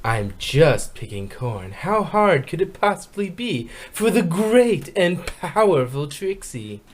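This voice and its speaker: A haughty mare magician from a storybook, played by a man, pitched down and drawn out. She boasts pompously and sounds dismissive of everything beneath her. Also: big pitch swings, slow pace, full character voice. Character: haughty, boastful female show-off pony magician.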